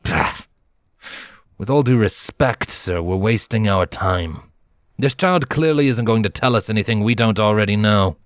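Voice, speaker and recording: Low male voice, gruff pony soldier acted by a male narrator, irritable, hissing grumble, impatient, storybook character, dry close sound. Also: animated, measured pace, dry recording. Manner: low angry hiss, grumbling. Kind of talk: storybook character voice in fan fiction reading.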